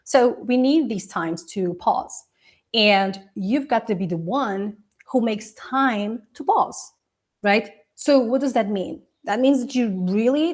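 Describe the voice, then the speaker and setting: A woman whose first language is Portuguese, a coach hosting a casual live video, talking warmly to viewers, laughing often and pausing to sip tea. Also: on the high side. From Portuguese Angolan. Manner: chatty and encouraging, laughs often, sips tea.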